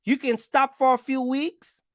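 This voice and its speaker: A woman's voice: high voice, fairly even intonation, measured pace, no room sound, studio quality. A woman hosting an informal explainer for viewers, speaking bluntly and emphatically in colloquial, slangy language and repeating phrases for stress. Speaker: trucking channel host giving advice.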